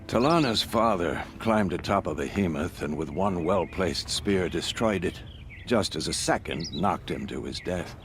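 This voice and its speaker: A deep-voiced, grave lodge keeper in a fantasy game. He speaks formally and courteously, with an expressive, swinging delivery over music. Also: measured pace. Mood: solemn recounting. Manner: solemn, formal storytelling delivery. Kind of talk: dramatic video game dialogue. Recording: music behind the voice.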